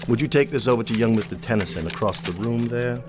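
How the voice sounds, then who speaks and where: An actor playing a gray-haired, coldly unemotional ex-army colonel in a radio drama, stern, dry and humorless. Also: male voice, medium pitch, quick pace, natural voice. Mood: cool, firm. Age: older. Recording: studio radio drama with music underneath.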